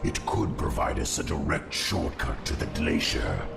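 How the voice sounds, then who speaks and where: An actor playing a solemn, ancient undead crypt lord in a video game, with a deep gravelly voice. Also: male voice, sing-song, loud music behind the voice, exaggerated voice. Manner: slow, solemn. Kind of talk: video game cutscene acting. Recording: echoing voice, loud game soundtrack.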